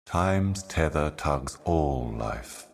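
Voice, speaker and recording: Otherworldly ageless envoy, video game monologue, very deep pitch, unhurried, grave, weary, brooding. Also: male voice, expressive, creaky, full character voice. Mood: grave, ominous. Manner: grave, brooding, measured, portentous. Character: ancient solemn otherworldly envoy, weary eternal servant of cosmic darkness. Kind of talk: scripted game monologue, cryptic poetic narration.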